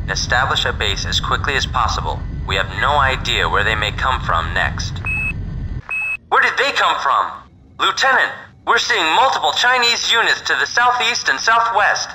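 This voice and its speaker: An actor playing a stern military commander giving urgent orders over the radio in a game. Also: male voice, mid-range voice, close to an everyday voice. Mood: urgent, alarmed. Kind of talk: scripted game mission radio dialogue. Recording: noisy radio transmission with vehicle hum.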